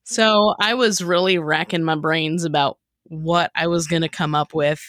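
A woman's voice: low-pitched, steady tone, moderate pace, a bit bright, no room sound, studio quality. A woman co-hosting a podcast, telling stories casually and informally, acting out bits of remembered conversation. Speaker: podcast co-host and sketch writer. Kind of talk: casual chat, storytelling.

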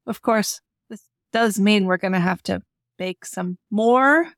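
Mid-pitched woman, casual and dry-sounding. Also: a little slow, no echo. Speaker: podcast co-host. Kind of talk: light scripted skit and casual banter.

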